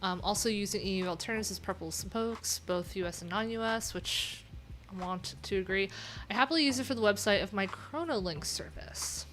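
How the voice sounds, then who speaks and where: A woman's voice: mid-range voice, fairly quick, a bit bright, slight background noise. A woman livestream host chatting casually and reading things aloud, with wry, informal, rambling asides. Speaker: livestream host, web developer.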